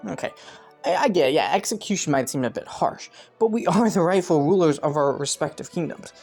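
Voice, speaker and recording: Young prince female performer: low voice, courtly and calculating yet easily flustered, intimate roleplay addressed to the listener, music underneath. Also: animated, brisk, darkish tone, breathy edge, heavily acted. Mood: formal, firm. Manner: formal courtly phrasing with flustered stammers.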